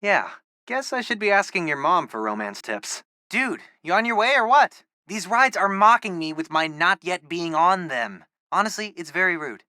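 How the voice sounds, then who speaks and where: A man's voice: high-pitched, sing-song, normal speed, sharp tone, dry recording, studio quality, full character voice. A young man playing a geeky, upbeat teenage boy in a video game, a loyal best friend with a casual, wry, chatty way of talking. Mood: teasing. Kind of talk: video game companion dialogue.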